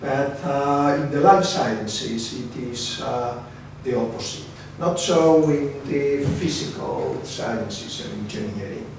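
A man in his sixties from Spain with a Spanish accent, giving a seated conference presentation with a halting, hesitant delivery. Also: mid-range voice. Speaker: academic speaker, research council member. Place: seminar room, indoors. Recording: very echoey room, distant mic, faint noise.

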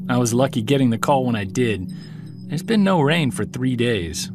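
An actor playing a wry, observant podcast investigator, narrating and interviewing in a measured, scripted style. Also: male voice, medium pitch, lively intonation, over music, natural voice.

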